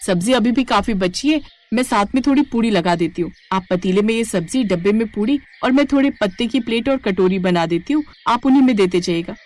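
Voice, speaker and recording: A woman's voice: medium pitch, animated, fairly quick, few pauses, darkish tone, close to an everyday voice. A woman voicing a brave, caring and fiercely protective village wife and mother in a moral story, firm and feisty. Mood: reassuring, determined.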